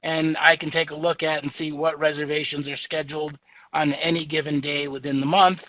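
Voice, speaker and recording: Male presenter, booming and relaxed with fillers, pitched a bit high; dry, phone-like recording. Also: a little fast, no echo. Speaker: software demonstrator. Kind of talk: software screen-share demo. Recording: tinny phone-like audio, dry.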